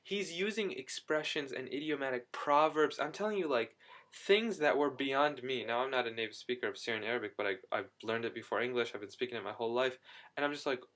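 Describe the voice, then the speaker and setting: Excited rambling young man. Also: medium pitch. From United States. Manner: excited, rambling, quick restarts. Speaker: vlogger. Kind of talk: casual video diary.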